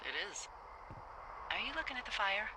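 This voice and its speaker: Casting: seasoned female fire lookout, middle-aged, wry and flirty, naturalistic game dialogue over walkie-talkie, with clear background noise. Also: on the high side, big pitch swings, normal speed. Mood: wistful, intimate. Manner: teasing, casual, conversational. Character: wry, flirty, warm-hearted veteran woman fire lookout. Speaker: video game character. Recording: clearly noisy game ambience behind.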